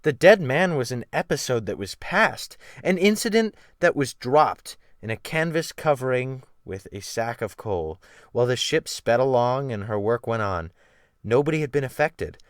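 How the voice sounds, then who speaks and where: A man's voice: medium pitch, animated, moderate pace, no room sound. A man giving a dramatic, theatrical reading of literary narration, with strong swells of emphasis, close to the microphone. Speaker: audiobook narrator. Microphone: close USB microphone.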